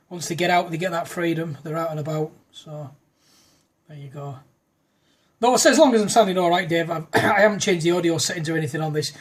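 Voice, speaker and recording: A man chatting easily on a ham radio, often saying mate and clearing his throat. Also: on the high side. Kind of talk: casual radio chat.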